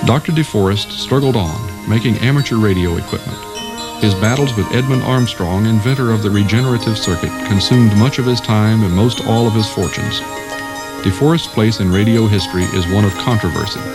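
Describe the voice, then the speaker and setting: A man narrates a documentary from a script. His voice sits on the low side, with music playing clearly behind him. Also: a little fast. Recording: music under the voice.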